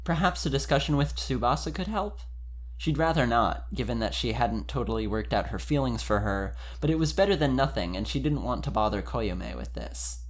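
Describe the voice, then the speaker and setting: A man's voice: medium pitch, lively intonation, normal speed. A man speaking informally and expressively, as a podcast member giving an amused dramatic reading of fan fiction.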